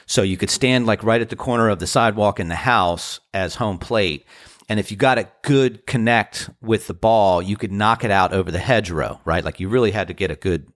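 Low-voiced man with tired post-show voice. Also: a little fast, a bit bright, dry recording, studio quality. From Washington DC area. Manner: earnest, rambling, grateful storytelling. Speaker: podcast host and band musician. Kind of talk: casual reflective monologue.

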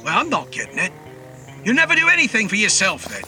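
A man's voice: high-pitched, wildly swooping pitch, fast talker, pushed and bright, faint music behind, voice pushed up, heavily acted. A man voicing an exasperated but fond dog owner in an audio horror story, grumbling and calling out informally.

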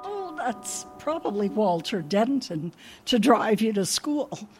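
A woman's voice: medium pitch, very animated, slow pace, music in the background. A woman playing a sweet, absent-minded older landlady who rambles forgetfully in a radio comedy. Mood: rambling.

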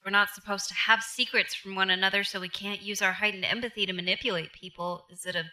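A woman's voice: mid-range voice, fairly even intonation, fast, pushed and bright, rough recording. An actress playing an earnest, concerned woman with a curious, questioning manner in an audio drama. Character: earnest, concerned woman on a played-back recording.

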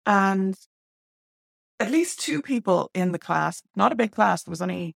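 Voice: medium pitch, animated, a little slow, vocal fry, fairly bright tone, no echo, clean studio sound. An Irish speaker in their forties giving blunt, emphatic opinions to camera, full of asides and tag questions. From Ireland. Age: 40s. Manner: digressive with many asides and "right?" tags. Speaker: online school presenter.

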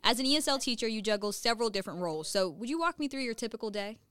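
A woman's voice: a little high, lively intonation, rapid-fire, a bit bright, smooth, no room sound. A woman asking questions as the interviewer in a conversational interview.

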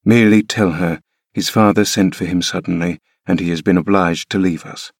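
A man reading the lines of a grave, formal gentleman giving careful instructions. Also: low voice, steady tone, dry recording, clean studio sound. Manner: measured, formal. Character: grave gentlemanly father, measured.

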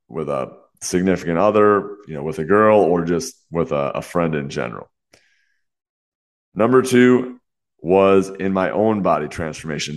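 Host, young man, very low voice, congested and a bit nasal; earnest, brisk solo podcast delivery. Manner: earnest, fast talk with many "you know" fillers. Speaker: podcast host and fitness coach.

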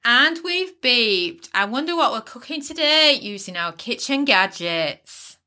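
A woman's voice: a little high, big pitch swings, unhurried, sharp tone, a little hiss or hum. A woman from East Yorkshire with a Yorkshire accent, hosting a chatty recipe podcast. She is upbeat, talks straight to the listener and laughs at herself. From East Yorkshire, England. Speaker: podcast host.

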